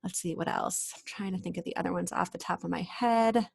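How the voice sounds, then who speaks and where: A woman reading a storybook aloud expressively for children, pausing for chatty explanations and questions to her young listeners. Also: lowish voice, gravelly, slightly rough.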